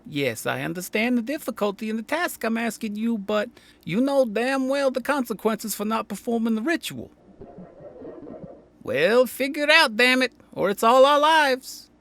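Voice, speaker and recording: Harried, curt mayor played by a woman, animated delivery. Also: on the high side, normal speed, a little hiss or hum. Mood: urgent, irritated. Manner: curt, exasperated, weary. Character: harried, cynical, short-tempered city mayor under pressure. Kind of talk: audio drama acting.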